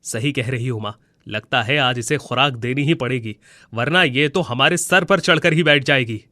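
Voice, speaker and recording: Cruel husband, moral tale, acted by a man: angry temper, hard scolding delivery, bright and tense voice. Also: mid-range voice, moderate pace, no echo, studio quality, lightly acted. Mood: spiteful. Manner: harsh, scolding. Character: cruel, angry husband throwing out his wife. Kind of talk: Hindi moral storybook voice acting.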